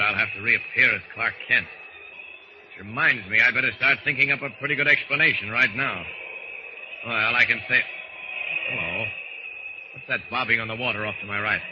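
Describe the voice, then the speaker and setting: A man's voice: on the low side, close to an everyday voice. A man playing a confident, decisive superhero who talks through his actions aloud, in old radio serial style. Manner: narrates own actions aloud. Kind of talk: 1940s radio serial acting. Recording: noisy, dull old radio recording.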